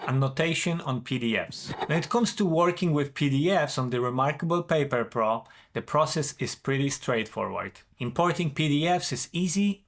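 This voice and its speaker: A man's voice: fairly high pitch, a bit bright. Man reviewing tech. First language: Polish. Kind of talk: scripted product review narration.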